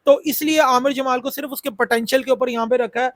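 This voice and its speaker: A man's voice: extremely high pitch, steady tone, fast talker, dry recording, clean studio sound. A man with a sore throat, chatting about cricket in a casual, repetitive way with many fillers. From Pakistan. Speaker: cricket YouTuber. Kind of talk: casual cricket analysis talk.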